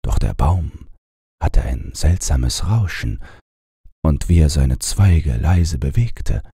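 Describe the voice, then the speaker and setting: A man narrating a bedtime fairy tale in a gravelly, husky voice, with a measured, unhurried storyteller's delivery. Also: very deep voice, lively intonation, warm tone, no echo, studio quality. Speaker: audiobook narrator.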